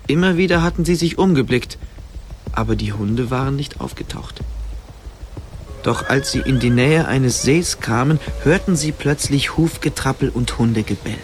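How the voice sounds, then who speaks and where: A man's voice: mid-range voice, animated, normal speed, slight background noise. A man narrating a scripted story in a dramatic storytelling style.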